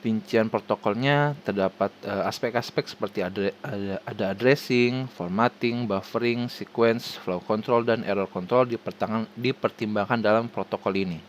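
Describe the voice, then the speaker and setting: A man with an Indonesian accent reading a formal scripted presentation haltingly, stumbling over words and restarting them. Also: lowish voice, a little hiss or hum. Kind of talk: scripted presentation read aloud.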